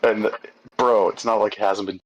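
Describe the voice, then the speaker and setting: A man's voice: lowish voice, little pitch movement, normal speed, highs cut off, slight background noise. A man speaking as a player in a casual tabletop game, offhand and joking.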